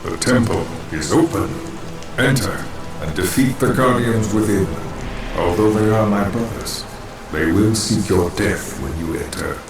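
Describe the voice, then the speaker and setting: Deep slow solemn ancient guardian, super echoey, far off, game music behind. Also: male voice. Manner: grave, measured, commanding.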